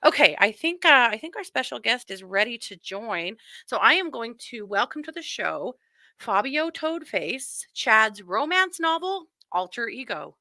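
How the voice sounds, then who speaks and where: A woman's voice: on the high side, sing-song, measured pace, bright, forceful tone, no room sound. A woman hosting a show with casual, wry and mocking true crime commentary. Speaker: host.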